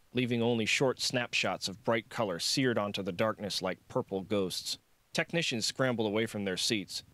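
A man's voice: lowish voice, fairly bright tone, no echo. A man reading scripted narration for a thriller audiobook.

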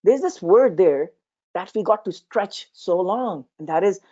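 A man's voice: sits high, lively intonation, measured pace, warm tone, dull audio. Man teaching, native Urdu speaker. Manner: conversational, many fillers and restarts. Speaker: Arabic instructor. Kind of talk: informal lecture with personal story. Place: small room indoors, talking over a shared screen.

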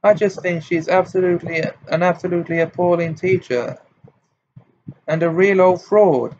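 A man's voice: sits high, moderate pace, darkish tone, voice pushed up, natural voice. A man playing a prim, lofty teenage schoolgirl. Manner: prim and reproving. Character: severe, lofty, know-it-all teenage schoolgirl. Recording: dull lo-fi with faint hiss.

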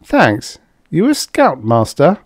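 A man voicing a calm, wry male diplomat with an understated, dry manner. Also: on the high side, takes it slowly, husky, no room sound, voice pushed up, exaggerated voice.

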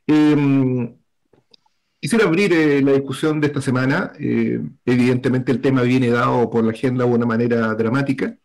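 A man's voice: medium pitch, fairly even intonation, moderate pace, darkish tone, poor audio. Guy hosting a politics show from home, headset on a video call, formal and wordy with lots of eh's. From Chile. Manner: formal, wordy introductions with many fillers. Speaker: host. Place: home room with bookshelves.

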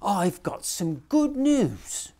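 A self-conscious little boy in a school nativity play, reciting his angel lines in a flat, deadpan voice. A man acts him out, pitched high and slowed down. He plods through each line as if reading from memory. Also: exaggerated voice. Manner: deadpan, flat, slow and halting.

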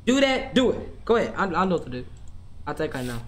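Teenage boy co-player, high lively delivery, pushy directions, casual home chat. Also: quick pace, a little hiss or hum.

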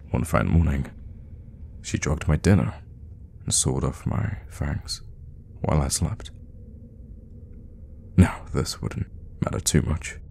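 A man playing a suave, teasing, sadistic vampire lord in an ASMR roleplay, speaking in an unhurried, theatrical and menacing way with a gravelly voice. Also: darkish tone, slight background noise, heavily acted. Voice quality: very deep, gravelly. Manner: unhurried, theatrical, with drops into whispers. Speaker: voice actor in an audio roleplay.

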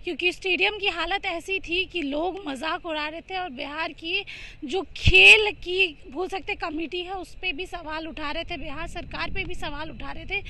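A young woman reporting news from outdoors at a stadium, holding a handheld mic, talking quickly and earnestly with frequent filler phrases. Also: very high voice, sharp tone. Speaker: field reporter. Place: outdoors at a large open stadium. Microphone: handheld mic in front of her.

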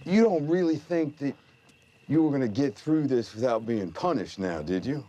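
An actor with an American accent playing a smug, cruel middle-aged gang leader in a TV drama, drawling and mocking with deliberate pauses. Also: male voice, medium pitch, animated, mellow, natural voice. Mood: calm menace. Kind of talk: television drama villain monologue. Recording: TV drama clip with noticeable background noise.

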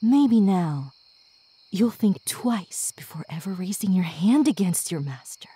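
A woman playing a sadistic, obsessive demon woman in an ASMR roleplay, gloating and possessive, with menacing breathy whispers that can break into shouts. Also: mid-range voice, animated, a little slow, dry recording. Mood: cold, cruel.

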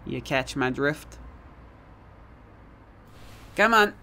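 Man acting as a kidnapper thug, slow delivery, taunting, casually menacing, mid pitch, audio drama. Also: animated, bright, forceful tone, slight background noise, full character voice. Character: callous male kidnapper, mocking thug disposing of a hostage.

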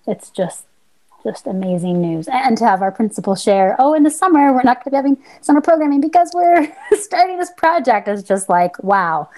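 Woman on a video call sharing her excitement with the board, chatty and gushing, lots of likes and ums. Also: medium pitch, poor audio. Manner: gushing, chatty, uses fillers. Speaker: school board member. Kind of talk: casual remarks in a board meeting.

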